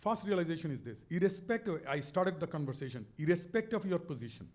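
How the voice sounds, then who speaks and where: A man's voice: on the high side, very animated, quick pace, a little mellow. A middle-aged man with a Bangladeshi accent, telling a motivational story in an earnest, emphatic way, often repeating words. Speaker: speaker on a stage talk.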